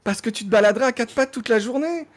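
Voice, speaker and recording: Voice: mid-range voice, quick pace. A player in a tabletop roleplaying session, chatting and laying out plans.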